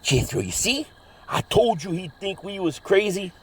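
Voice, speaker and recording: A man's voice: high voice, very animated, moderate pace, breathy edge, full character voice. A man playing an ornery, suspicious, dim-witted backwoods hillbilly with a put-on rural drawl in a comedy audio drama. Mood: wary, blustering. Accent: rural Southern hillbilly drawl, put on. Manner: folksy bad grammar, exaggerated bluster.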